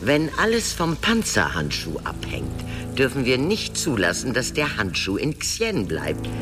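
Sneaky calm fantasy plotter, low deep voice, conspiratorial, loud music under him. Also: male voice, exaggerated voice. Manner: measured, conspiratorial. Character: smooth-talking, crafty male schemer, composed and secretive. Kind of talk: fantasy radio drama acting.

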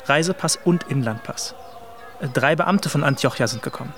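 An actor playing a polite, worried young private tutor, speaking formally and respectfully in a radio drama. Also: male voice, a little high, normal speed, creaky, fairly bright tone, slight background noise. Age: young adult. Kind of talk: naturalistic German radio drama acting.

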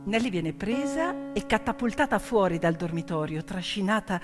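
A woman's voice: medium pitch, lively intonation, normal speed. A woman stage storyteller narrating dramatically, with emphatic, short, suspenseful phrases. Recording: reverberant hall with piano music. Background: piano music.

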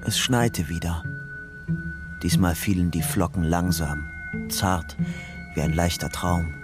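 Guarded young ex-con in a radio thriller, lowish voice, faint music underneath. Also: male voice, fairly even intonation, slow pace. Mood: brooding narration. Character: guarded young ex-convict narrating his crime story. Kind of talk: radio drama acting and narration.

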